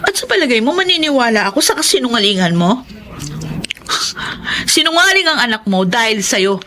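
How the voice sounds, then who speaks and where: A woman's voice: fairly high pitch, very animated, brisk, pushed and bright. A woman with a Filipino accent playing a jealous, combative betrayed wife in a melodramatic radio drama, scornful and accusing. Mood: bitter, furious. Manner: scornful, confrontational.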